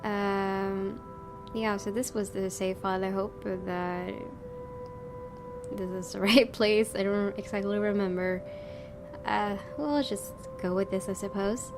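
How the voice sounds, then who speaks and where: Female gaming YouTuber, casual and expressive read-aloud, clear game music underneath. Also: medium pitch, slow. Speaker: gaming YouTuber reading a visual novel aloud. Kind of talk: casual let's-play commentary and read-aloud narration. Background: game music with guitar.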